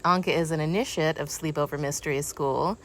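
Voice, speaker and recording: Low-voiced woman hosting a casual interview. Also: fairly even intonation, fairly quick. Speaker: host, interviewer. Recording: noticeable ambient background noise.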